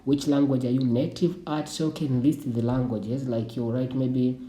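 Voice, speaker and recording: Young tanzanian man, swahili native, dark mellow tone, echoey room, rambling tutorial talk. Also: mid-range voice, little pitch movement, normal speed. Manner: loose, repetitive explaining with restarts. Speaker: YouTube channel host. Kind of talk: screen-share tutorial. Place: indoor room. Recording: roomy echo.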